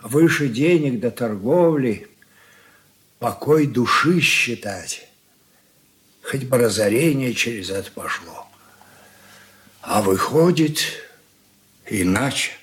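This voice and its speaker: An actor playing an old merchant father in a radio drama, reproachful and weary, speaking haltingly and sorrowfully. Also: mid-range voice, lively intonation. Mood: weary, pleading. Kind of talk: Soviet radio play acting.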